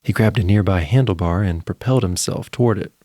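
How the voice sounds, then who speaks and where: A man narrating a scripted story in a measured, suspenseful storytelling manner. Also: sits low, background noise.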